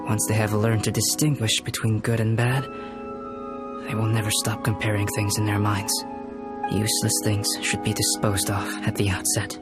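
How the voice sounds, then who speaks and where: An actor playing a composed, aloof young man in a video game, speaking in a measured, formal and philosophical manner. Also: fairly low pitch, level tone, over loud music, lightly acted. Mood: detached, pensive. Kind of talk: video game character acting.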